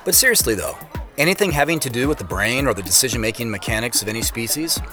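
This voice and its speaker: An actor playing a busy, self-deprecating young tech CEO in a modern audio drama, talking in a casual, wry way. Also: male voice, medium pitch, animated, fast, bright, forceful tone, soft background music. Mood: light, teasing.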